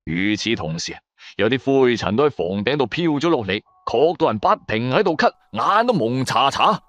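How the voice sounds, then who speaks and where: A man's voice: medium pitch, big pitch swings, normal speed, a bit bright, dry recording. A man with a Cantonese accent narrating a suspenseful adventure story in the first person, with theatrical, emphatic delivery. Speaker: audiobook narrator.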